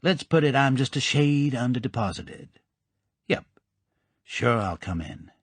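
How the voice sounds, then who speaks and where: A man's voice: mid-range voice, expressive, measured pace, dry recording, pitched above the performer's own voice. A man voicing a blunt, indifferent female gang boss. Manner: indifferent, drawling.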